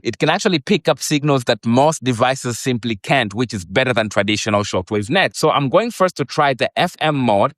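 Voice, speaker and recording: A man's voice: medium pitch, fairly bright tone, no room sound, studio quality. A man presenting and demonstrating a product in an explanatory, repetitive way.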